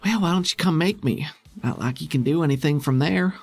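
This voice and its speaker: A man's voice: fairly high pitch, fairly quick, gravelly, husky, soft background music, voice pushed up. A man playing a crude, defiant alien handler who talks bluntly and casually in a tabletop game. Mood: taunting.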